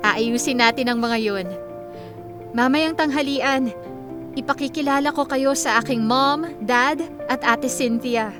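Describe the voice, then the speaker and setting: A woman's voice: high voice, unhurried, over music. A woman playing a kind, polite and businesslike young lady of a wealthy house in a Filipino radio drama. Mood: kindly businesslike.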